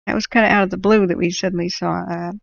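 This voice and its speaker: A tired woman with a gravelly, creaky voice rambles casually to her stream viewers, stopping often on ums and uhs. Her throat sounds ragged and worn out. The audio is dull and lo-fi, like a home livestream. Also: on the low side, fairly even intonation, no room sound. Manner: rambling, halting, many fillers. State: exhausted. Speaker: wildfire tracking livestream host.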